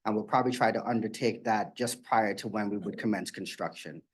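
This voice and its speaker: Low-voiced man presenting plans. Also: a little fast, husky. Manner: steady explanatory, frequent fillers. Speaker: developer presenter. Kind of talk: community meeting presentation. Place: meeting room.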